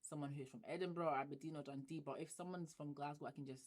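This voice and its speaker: Woman YouTuber, Glaswegian accent, lowish voice, casual and chatty with frequent laughter. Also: steady tone, fast talker, a little mellow. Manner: chatty, laughs often, plays with example phrases. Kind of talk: casual vlog chat.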